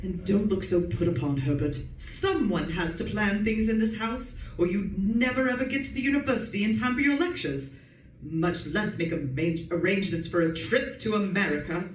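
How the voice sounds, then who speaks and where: A woman's voice: medium pitch, normal speed, close to an everyday voice. A woman playing a bossy, scolding middle-aged wife in an old-time radio suspense play. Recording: roomy, distant mic, faint noise.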